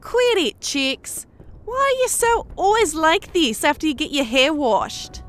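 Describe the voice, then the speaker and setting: A woman voicing a grumpy, sharp-tongued horned woman in a fantasy audio story, with a blunt manner. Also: sits high, big pitch swings, a little slow, full character voice. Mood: irritated, exasperated. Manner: blunt, exasperated.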